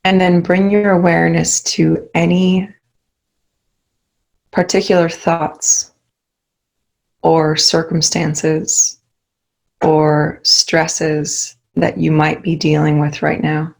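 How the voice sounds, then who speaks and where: A woman guides a meditation in a low-pitched voice with a noticeable creak. She speaks slowly and calmly, leaving soothing pauses between short instructions. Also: rough recording.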